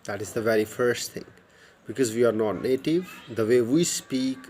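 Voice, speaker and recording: A man's voice: mid-range voice, normal speed, a little mellow. Pakistani-accented man, 30s, Urdu native, casual phone-to-camera tutor, earnest with frequent restarts, outdoor earphone mic with faint background hiss. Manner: earnest, repeats words and restarts often. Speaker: YouTube English tutor. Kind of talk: casual explainer lesson to camera. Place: outdoors by a brick wall. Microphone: wired earphone mic, phone at arm's length.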